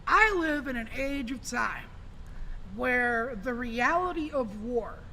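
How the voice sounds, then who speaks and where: A man's voice: very high-pitched, expressive, takes it slowly, bright, forceful tone, a little hiss or hum, poor audio. A man talking on a podcast in a rambling, emphatic way, swearing freely. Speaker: podcast guest. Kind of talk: casual podcast talk.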